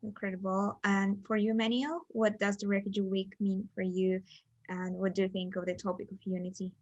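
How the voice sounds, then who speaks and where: A woman's voice: mid-range voice, flat delivery, fairly quick, darkish tone, dry recording, dull audio. A woman hosting a webinar over a video call, asking guests questions in a friendly way with many fillers. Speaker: webinar host.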